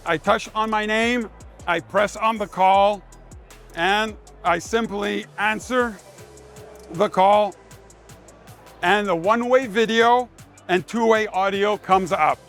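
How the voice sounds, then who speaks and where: A man's voice: high voice, slow pace, pauses often, fairly bright tone, faint music behind. A man giving a live sales demonstration of a product, speaking in emphatic short phrases like a salesman. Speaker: sales manager presenting a product. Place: trade show demonstration.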